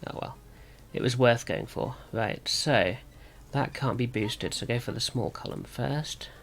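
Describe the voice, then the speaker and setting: A man with a sore throat and slightly rough voice, thinking aloud as he gives casual commentary on a card game. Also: medium pitch, a little slow, slight background noise. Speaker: gaming video host.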